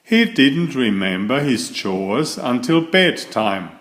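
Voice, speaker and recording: Male narrator for children's picture book, expressive and animated, unhurried pace. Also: medium pitch. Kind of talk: read-aloud storybook narration.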